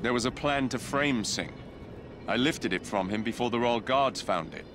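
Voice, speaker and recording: A man's voice: medium pitch, normal speed, noisy background, close to an everyday voice. A male actor playing a cocky young Victorian London gangster hero with a British accent in a video game cutscene. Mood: cocky, brisk.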